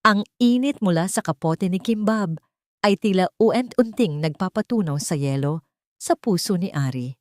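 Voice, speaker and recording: Low-voiced woman narrating solemn drama. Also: expressive, moderate pace, no room sound, studio quality. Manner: solemn, melodramatic. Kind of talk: scripted dramatic story narration.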